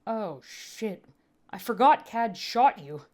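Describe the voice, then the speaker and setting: A woman voicing a gruff, weary human medic with a blunt, emphatic, argumentative way of talking, in a story reading. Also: fairly high pitch, expressive, slow pace, darkish tone, pitched above the performer's own voice. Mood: annoyed.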